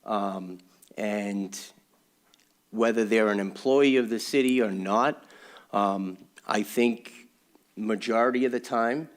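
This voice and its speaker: A man speaking formally as a city councilor at a meeting, with a halting, hesitant delivery that repeats words. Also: on the low side. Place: indoor public council meeting room.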